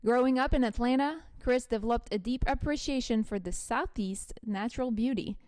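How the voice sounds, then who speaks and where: A woman's voice: a little high, moderate pace, a little mellow, no echo. A woman from Quebec with a Québécois French accent, whose first language is French, hosting a podcast interview in a chatty, reflective way. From Quebec, Canada. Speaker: podcast host.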